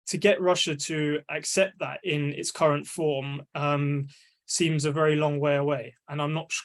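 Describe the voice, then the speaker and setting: A young man on a video call, giving a thoughtful panel answer with frequent ums and restarted phrases. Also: fairly high pitch. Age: young adult. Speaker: panelist, media analyst.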